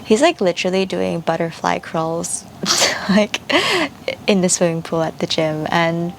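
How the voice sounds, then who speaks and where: Soft-spoken young woman, gravelly low voice. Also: a little slow, slight background noise. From Japan. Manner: soft-spoken, thoughtful, with frequent fillers. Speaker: vlogger. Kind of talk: reflective personal voice-over.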